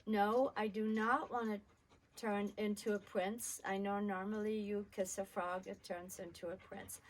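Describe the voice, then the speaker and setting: An older woman with a hoarse, crackly voice, chattily telling a personal childhood story to her regular listeners. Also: mid-range voice, little pitch movement. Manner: slow, homely, chatty storytelling.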